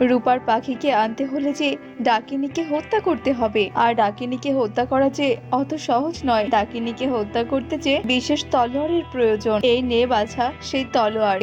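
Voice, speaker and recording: A woman's voice: fairly high pitch, muffled recording, lightly acted. A woman playing a motherly holy woman and wise guide in a children's cartoon fairy tale, speaking instructively. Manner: instructive, addressing listener as child.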